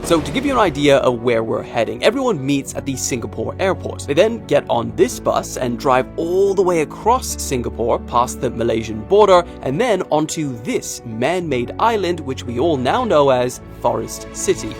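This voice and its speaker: A man's voice: medium pitch, big pitch swings, moderate pace, vocal fry, hardly any pauses, music in the background. A man hosting and narrating a travel documentary vlog in a conversational, informal way. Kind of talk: scripted narration mixed with on-location interviews.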